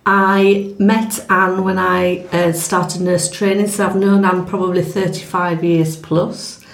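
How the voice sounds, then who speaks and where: A middle-aged woman with a Yorkshire accent speaks in a measured, reflective way, her voice sitting a little low. She chats casually among old friends. The sound comes from an echoey room. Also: flat delivery. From England. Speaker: retired nurse and health service manager. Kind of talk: casual group chat.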